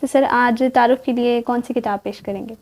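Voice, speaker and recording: Female host, Pakistani accent, highish and very soft-toned voice, courteous formal delivery for a literary show. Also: fairly even intonation, normal speed. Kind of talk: formal programme hosting.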